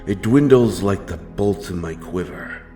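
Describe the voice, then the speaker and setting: A male actor performs a grim monster hunter from a dark fantasy audio drama, his voice very low and his delivery slow. He speaks in solemn, archaic, threatening phrases, stern yet honourable, with music playing behind him. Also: lively intonation. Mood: stern, menacing.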